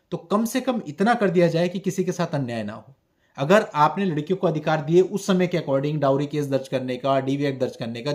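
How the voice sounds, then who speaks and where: A man giving explanatory commentary on a legal case, speaking emphatically with rhetorical questions and mixed-in English terms. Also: fairly high pitch. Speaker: host of a legal issues video channel.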